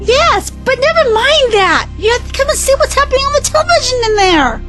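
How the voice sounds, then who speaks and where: A woman acting an excitable young time-travel companion in an audio drama, urgent and breathless with news. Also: very high voice, fairly quick, full character voice. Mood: urgent, excited. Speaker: actor. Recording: loud music bed behind the voice.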